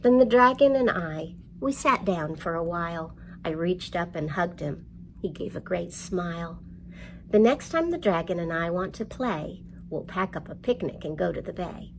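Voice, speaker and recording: A woman presenting a storytime for young children, reading and explaining in an expressive, simple, encouraging way. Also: on the low side, moderate pace, creaky, slight background noise, rough recording. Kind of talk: read-aloud storytelling and instruction for young children.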